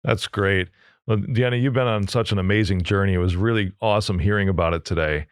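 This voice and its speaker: A man hosts a podcast interview, upbeat and encouraging toward his guest, laughing easily. His voice is on the lower side. The sound is close and dry. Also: fast, smooth, no echo. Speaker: podcast host.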